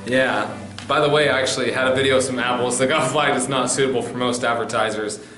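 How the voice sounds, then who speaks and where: A man's voice: mid-range voice, fairly even intonation, quick pace, a bit bright, lightly acted. A man playing an aggrieved video creator in a comedy sketch, talking in a casual, wry way. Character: aggrieved male video creator asking a favour. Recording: echoey room with faint music.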